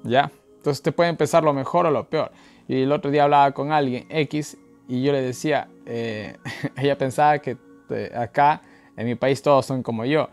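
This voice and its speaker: An Ecuadorian man with a mid-pitched voice leads an informal Bible study. His delivery is conversational and colloquial, halting often on filler words. Faint music plays behind his voice. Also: fairly even intonation. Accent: Ecuadorian Spanish. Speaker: online Bible study leader. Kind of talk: informal Bible study talk, verse-by-verse commentary. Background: background music.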